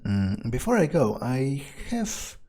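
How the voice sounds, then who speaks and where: A man speaking in character during a video game playthrough, in a halting, musing way. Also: medium pitch, big pitch swings, warm tone, soft background music. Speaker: player voicing his own character in a let's play.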